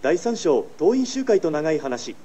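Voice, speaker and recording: A man's voice: a little high, sing-song, brisk, warm tone, breathy edge. A synthetic male voice reading book text aloud. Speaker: text-to-speech reader.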